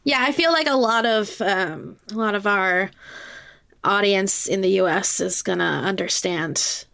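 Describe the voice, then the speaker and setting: A woman interviews a guest on a podcast in a casual, conversational style. Her voice sits at a middle pitch for a woman. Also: measured pace, vocal fry, a bit bright.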